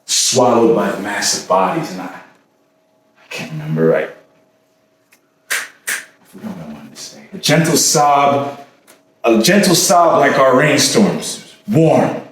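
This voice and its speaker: An actor playing an anguished man haunted by obsessive love, in an intense, halting theatrical monologue. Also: medium pitch, lively intonation. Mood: haunted, hesitant. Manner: intense, halting, with repetitions and sudden swells. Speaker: stage actor. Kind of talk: theatrical staged reading, dramatic monologue. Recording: echoey room from far off, faint noise.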